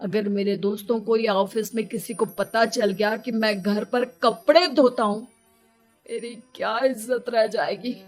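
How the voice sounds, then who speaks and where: A high, bright cartoon voice for a weary young husband, played by a woman. He pleads and complains in slow, deliberate phrases, exasperated with his household. Music plays underneath. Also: fairly even intonation, close to an everyday voice. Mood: self-pitying. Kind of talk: Hindi children's cartoon dialogue.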